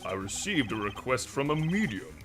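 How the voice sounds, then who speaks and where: A man's voice: mid-range voice, very animated, normal speed, close to an everyday voice. A man playing a weary, pompous older lawyer in a game fandub, formal and theatrical, weighed down by guilt. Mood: confessional. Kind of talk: visual novel game fandub acting. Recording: music behind the voice.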